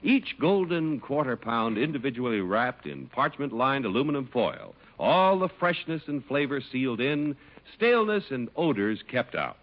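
A man's voice: mid-range voice, sing-song, moderate pace. An American man announcing in polished, emphatic 1950s radio style, reading scripted ads and introductions. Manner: polished, emphatic sales delivery. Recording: muffled old radio broadcast over music.

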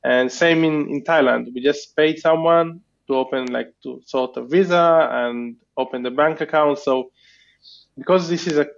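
Thoughtful, hesitant male guest from Israel; slightly higher voice, dull lo-fi recording. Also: moderate pace, gravelly. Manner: hesitant, thoughtful, many restarts and fillers. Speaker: guest entrepreneur. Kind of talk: casual interview chat.

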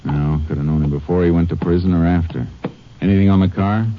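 An actor playing a stern Texas Ranger who talks in a clipped, commanding way, in 1940s radio acting style. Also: male voice, low-pitched, fairly even intonation, fast, a little hiss or hum, lightly acted. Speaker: narrator and lead. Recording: dull old radio recording.

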